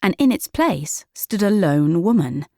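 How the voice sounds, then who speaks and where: Low-pitched woman narrating a children's story. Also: very animated, unhurried, dark tone, dry recording, studio quality. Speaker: audiobook narrator.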